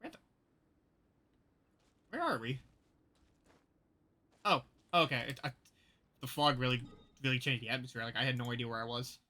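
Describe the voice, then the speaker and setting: A man's voice: mid-range voice, animated, moderate pace, long pauses, pushed and bright. A man streaming a video game with casual, blunt, exasperated commentary, often repeating himself as he rambles.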